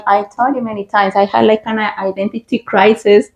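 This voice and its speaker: A woman's voice: mid-range voice, steady tone, brisk, darkish tone, muffled recording. A woman from Colombia hosting a casual chat, talking with many fillers. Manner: chatty, frequent "like" fillers. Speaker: podcast host.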